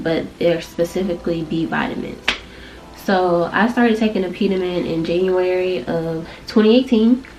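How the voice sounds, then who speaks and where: Congested young woman, low voice. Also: darkish tone, rough recording. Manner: chatty, rambling, informal. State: congested, nasal from allergies. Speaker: vlogger. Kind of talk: casual chat to camera.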